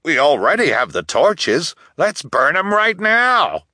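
A man's voice: high-pitched, expressive, moderate pace, pushed and bright, no echo, clean studio sound, voice pushed up. A man voicing indignant, vindictive townsfolk in an audiobook, strident and self-righteous as he exclaims. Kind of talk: audiobook character voices.

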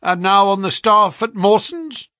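A man playing a brisk, sharp-talking financier. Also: high-pitched, expressive, no echo, clean studio sound, pitched above the performer's own voice, exaggerated voice. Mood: brisk, eager.

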